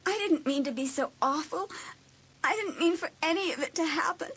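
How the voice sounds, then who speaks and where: An actress playing a hurt, insecure, apologetic teenage girl in an audiobook drama, with an emotional, halting, pleading delivery. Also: extremely high pitch, a bit bright. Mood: tearful, remorseful.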